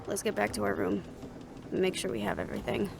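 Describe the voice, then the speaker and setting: A woman's voice: medium pitch, fairly quick, gravelly. A woman playing a guarded, stressed young woman in a fantasy audio roleplay, speaking conversationally and with some hesitation. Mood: resolute. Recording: music behind the voice.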